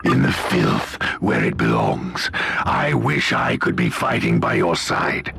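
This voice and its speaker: An actor playing a grim, contemptuous adviser to a holy warrior in a video game, with a theatrical, scornful delivery. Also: male voice, very deep voice, wildly swooping pitch, slow, gravelly, heavily acted. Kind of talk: scripted video game acting. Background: game music.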